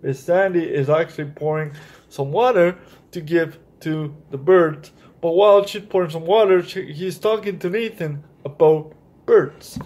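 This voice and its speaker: A man's voice: fairly high pitch, measured pace, darkish tone, a little hiss or hum. A man hosting a children's story time, reading a picture book aloud and explaining it directly to young listeners.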